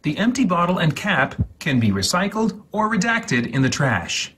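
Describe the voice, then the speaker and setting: A man giving a deliberate, dramatic reading of step-by-step instructions with exaggerated enunciation, in a husky, breathy voice. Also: high-pitched, sing-song, fairly bright tone, slight background noise. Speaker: host.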